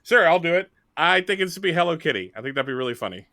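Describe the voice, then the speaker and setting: A man's voice: a little high, sing-song, fast talker, pushed and bright, no room sound, clean studio sound. A man casually explaining and joking his way through a tabletop roleplaying game as its facilitator.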